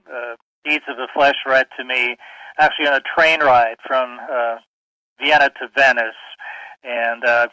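A man answers a radio host's questions in a flat, narrow tone with a bright, edgy timbre. He pauses often and fills gaps with hesitations. The sound is dry and muffled, like a lo-fi remote line. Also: medium pitch, dry recording. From Austria. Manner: halting, with many pauses and fillers. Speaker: seminary professor and guest. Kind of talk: interview answers.

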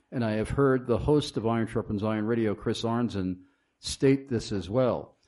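A man's voice: medium pitch, darkish tone. A man hosting a radio talk show, reading listener questions and speaking emphatically.

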